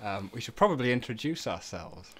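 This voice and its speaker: A man's voice: medium pitch, sing-song, a little fast, crowd noise. A man giving casual behind-the-scenes commentary as a co-writer, with a dry, tongue-in-cheek sense of humour. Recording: musical soundtrack playing behind the voice.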